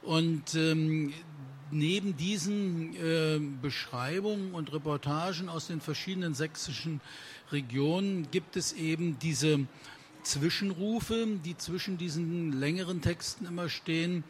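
A man's voice: fairly high pitch, unhurried, slight background noise. A man moderating a book presentation, hesitant and full of filler sounds. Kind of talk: introducing speakers at a book presentation.

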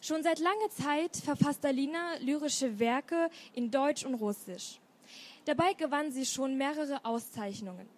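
Eine Frau, die als Moderatorin eine Autorin auf einer Buchmesse vorstellt und ihr Fragen stellt. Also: female voice, sits high, a little slow. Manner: reads intro, asks friendly questions. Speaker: event moderator. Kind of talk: book fair interview.

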